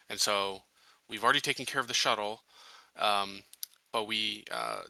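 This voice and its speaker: A man playing a tabletop roleplaying game, laying out plans casually in a deliberate, halting way. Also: fairly low pitch, bright, forceful tone, no echo, low-quality recording. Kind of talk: casual in-character planning talk.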